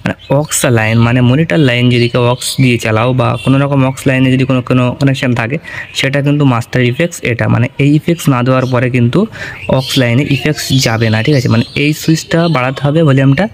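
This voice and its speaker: Fast-talking man. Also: mid-range voice, a little hiss or hum. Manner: explanatory, often checks the listener follows with tag questions. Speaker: audio gear reviewer. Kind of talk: informal product walkthrough.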